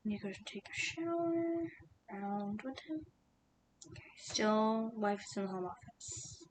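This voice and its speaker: A woman voicing a calm, patient female police detective, whispering softly and asking careful, soothing questions in an ASMR roleplay. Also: a little slow. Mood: questioning. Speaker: interrogator. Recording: steady low rumbling noise.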